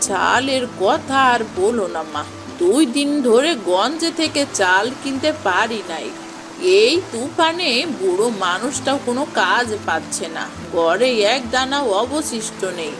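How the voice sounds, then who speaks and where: A woman's voice: a little high, lively intonation, a little slow, music in the background, low-quality recording. A woman voicing a kindly, weary old village aunt in a moral-story cartoon, motherly and lamenting. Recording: clear rain noise behind.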